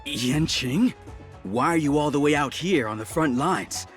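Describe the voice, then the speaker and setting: A man playing a steady, matter-of-fact guard captain in a video game, respectful in manner. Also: a little high, unhurried, faint music behind. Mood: surprised, concerned. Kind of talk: video game character voice acting.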